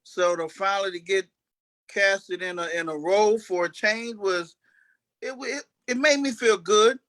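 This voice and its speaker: A man chatting casually as an actor being interviewed, halting and often restarting his phrases. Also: high voice, a bit bright. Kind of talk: casual chat.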